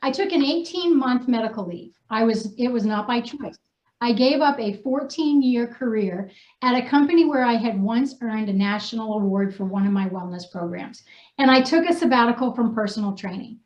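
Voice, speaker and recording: A woman's voice: a little high, moderate pace, darkish tone. A woman from New Hampshire hosting, chatty and informal, with casual slangy phrasing. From New Hampshire, United States. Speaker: host and co-author. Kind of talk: casual unscripted group chat, reading aloud from a personal story. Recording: dull, echoey room sound.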